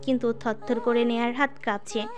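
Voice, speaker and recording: High-pitched woman narrating a dramatic story. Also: little pitch movement, normal speed. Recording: music under the voice. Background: music.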